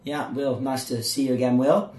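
A man hosting a podcast in a casual, joking way, his voice croaky and hoarse. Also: mid-range voice, steady tone, normal speed, a little mellow, roomy sound, poor audio. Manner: chatty, joking, with filler words. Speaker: podcast host.

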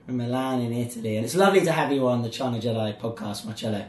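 A man hosting a podcast in a casual, joking way, his voice croaky and hoarse. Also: mid-range voice, racing, reverberant. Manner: chatty, joking, with filler words. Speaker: podcast host.

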